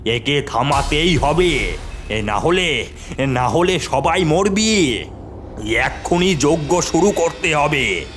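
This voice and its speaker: A stern cartoon exorcist, put on by a man, gives slow, commanding orders in a scolding manner. Music plays clearly behind the voice. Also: on the high side, expressive, fairly bright tone, pitched above the performer's own voice. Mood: grave warning. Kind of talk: cartoon horror story acting.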